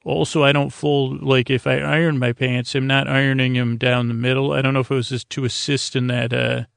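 A man hosts a sleep podcast in a gravelly, creaking voice that barely moves in pitch. He meanders through his monologue haltingly, in a lulling, soothing manner. The sound is dry and close, with no room to it. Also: flat delivery, fairly bright tone, dry recording. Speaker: host of a bedtime podcast. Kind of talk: rambling, meandering monologue for falling asleep.

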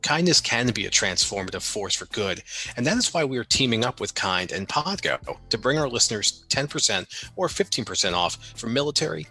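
A man's voice: lowish voice, sharp tone, slightly rough. A man reading a scripted ad. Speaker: ad announcer. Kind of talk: scripted ad read. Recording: music bed behind.

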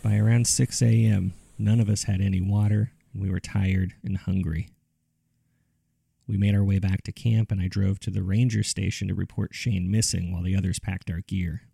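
A man hosting a story podcast, reading scripted mystery case files in a measured, serious storytelling manner. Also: low voice, flat delivery, gravelly, mellow, husky, dry recording, studio quality. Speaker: podcast host and narrator.

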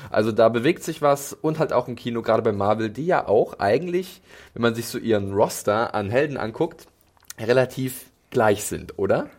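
A man's voice: medium pitch, animated, moderate pace. A man hosting a casual film podcast, speaking in a hesitant way with many fillers and restarts. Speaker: podcast host.

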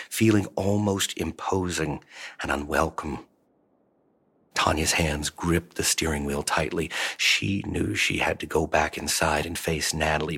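A man narrating a supernatural horror audio drama in a measured, deliberate scripted style. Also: a bass voice, fairly bright tone, slightly rough, full character voice. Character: male third-person narrator of a supernatural horror story.

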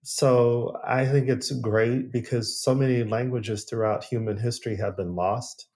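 A man's voice: medium pitch, fairly even intonation, measured pace, a little mellow. A man from the United States hosting a podcast, chatting casually and thoughtfully with his guest. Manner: reflective, with small restarts and sighs. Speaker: podcast host. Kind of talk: casual interview chat.

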